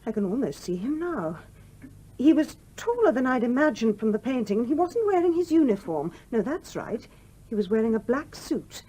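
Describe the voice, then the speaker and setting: An actress playing a small, genteel, determined English widow in a radio play, speaking in a polite, proper manner. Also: female voice, fairly high pitch, big pitch swings, moderate pace, mellow, slightly rough. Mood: startled, wondering. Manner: polite, well-spoken, proper. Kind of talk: 1970s radio play acting. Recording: noisy old radio drama recording.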